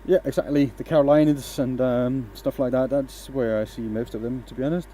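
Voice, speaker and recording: A man's voice: mid-range voice, steady tone, a little fast, warm tone, slight background noise. A man from Denmark, by birth, hosting a woodturning livestream and chatting loosely with viewers as he works, with frequent fillers and restarts. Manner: chatty, hesitant, talks to viewers while working. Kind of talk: casual livestream chat while working. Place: home workshop with a lathe.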